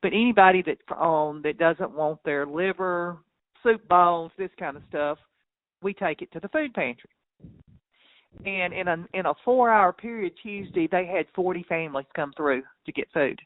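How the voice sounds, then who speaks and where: A man's voice: sits high, moderate pace, dry recording. A man who farms cattle, chatting casually and ramblingly on a phone call in a plain-spoken, folksy way, over a tinny line. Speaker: local cattle farmer.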